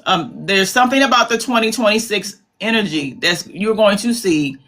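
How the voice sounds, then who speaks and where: Bright-voiced woman hosting live commentary. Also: mid-range voice, normal speed. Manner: chatty, calls viewers "babies", repeats herself for emphasis. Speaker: commentary livestream host.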